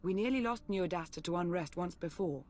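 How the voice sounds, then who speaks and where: A woman playing a cool, calculating intelligence chief in a video game cutscene, measured, clipped and dry. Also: lowish voice, a little mellow, natural voice. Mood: dry, businesslike.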